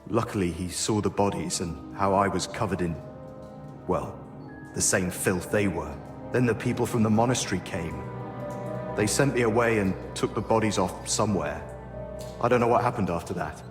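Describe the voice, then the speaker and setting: A man's voice: lowish voice, normal speed, lightly acted. An actor playing a grumpy, evasive man in a video game cutscene, with a weary, defensive and grudging delivery. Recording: echoey stone-room reverb, music behind.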